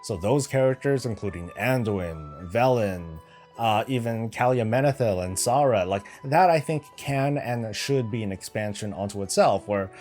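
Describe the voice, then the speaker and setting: A man's voice: fairly low pitch, animated, normal speed, darkish tone, soft background music. A man from Northern California hosting a gaming channel, casually answering viewer questions with sarcastic asides. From United States, Northern California. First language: Filipino heritage, raised in the US. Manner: joking, sarcastic asides, candid.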